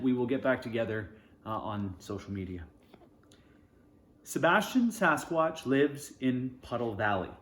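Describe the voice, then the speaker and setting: A man reads a children's storybook aloud in a medium-pitched voice with lively rises and falls. He phrases expressively, pausing between short phrases. The room adds a clear echo around his voice. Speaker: storybook reader. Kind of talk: children's storybook read-aloud with informal asides.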